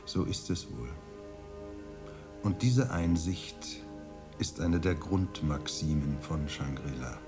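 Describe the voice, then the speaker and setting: A man playing a serene, wise monastery elder in a radio drama, speaking in a measured, courteous and discreet way. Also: very deep voice, music in the background.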